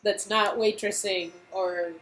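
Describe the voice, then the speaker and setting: A woman's voice: medium pitch, steady tone, takes it slowly, fairly bright tone, faint music behind, rough recording. A woman co-reading a story game, chatting casually in a playful, amused way.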